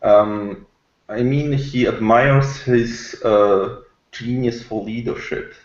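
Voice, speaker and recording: Male panellist, unhurried and hesitant, casual debate tone, roomy lo-fi recording with noticeable echo. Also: mid-range voice, fairly even intonation. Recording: echoey, dull lo-fi room audio.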